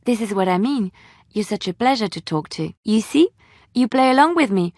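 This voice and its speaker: A woman's voice: medium pitch, animated, normal speed, a little mellow, no echo, clean studio sound, close to an everyday voice. An actress voicing a devout, playful young woman adventurer in a video game, sincere and chatty in manner. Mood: tender, warm. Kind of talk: video game character dialogue.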